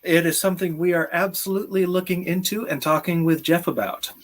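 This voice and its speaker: A man in his thirties talking casually and haltingly as co-host of a live stream over a video call. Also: high voice, steady tone. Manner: halting, chuckles often. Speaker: author and game creator co-hosting a live stream. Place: indoor room at home, video call.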